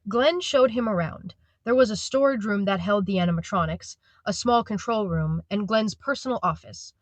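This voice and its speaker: A woman's voice: mid-range voice, moderate pace, no room sound. Dry-recorded woman narrating an audio drama.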